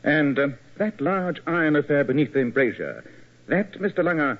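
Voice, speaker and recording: An actor playing a composed, courteous English gentleman detective, precise and suave, in an old radio drama. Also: on the high side, expressive, normal speed. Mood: calm, analytical. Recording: dull old radio recording.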